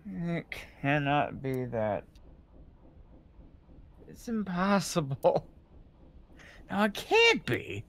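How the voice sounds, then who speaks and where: A man's voice: on the high side, very animated, very slow, pauses often, a bit bright, exaggerated voice. A man playing an anxious, earnest young male detective in an amateur video game fandub. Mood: distraught.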